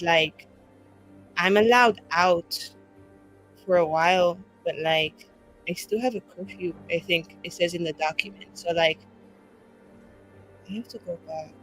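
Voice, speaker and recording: A woman's voice: on the low side, a bit bright, pitched below the performer's own voice, rough recording. A woman playing a flamboyant, tipsy elf writer who rambles and boasts with self-dramatising flair in a tabletop game.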